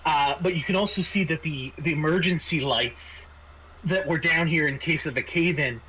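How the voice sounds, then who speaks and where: A man narrating a tabletop roleplaying game as game master, halting and repeating words as he improvises. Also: a little high, pushed and bright, husky, low-quality recording.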